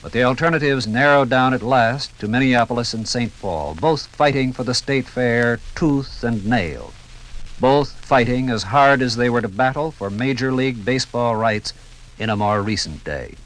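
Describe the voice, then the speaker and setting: A man's voice: medium pitch, moderate pace, fairly bright tone, a little hiss or hum, low-quality recording. A man giving theatrical, rhetorical scripted narration as the narrator of a 1950s radio documentary.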